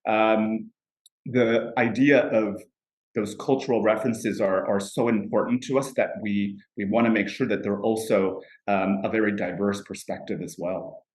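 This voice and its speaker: A man speaking as a fragrance brand executive on a panel, measured and pausing with fillers. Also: on the low side, fairly even intonation, a little mellow, roomy sound, poor audio. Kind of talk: panel discussion answer.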